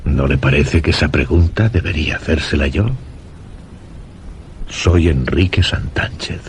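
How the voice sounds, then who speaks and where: An actor playing an obsessive, unhinged widowed master of a house in a suspense radio drama, courteous yet intense. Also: male voice, a bass voice, a little slow. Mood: solicitous, formal. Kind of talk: Spanish-language suspense radio drama acting.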